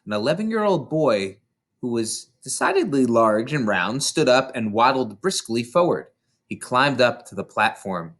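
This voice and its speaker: A man reads a children's story aloud. His voice sits at a medium pitch and moves through very lively, varied intonation. The delivery is expressive scripted narration. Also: moderate pace. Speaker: storybook reader. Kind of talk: animated children's audiobook narration.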